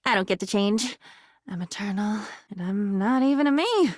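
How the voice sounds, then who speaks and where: A woman's voice: medium pitch, animated, moderate pace, no room sound, clean studio sound, close to an everyday voice. A woman playing an anxious, insecure living diary in a video game, morbid and erratic, with fragmented, theatrical delivery that veers between muttering and outbursts. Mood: self-loathing, lonely.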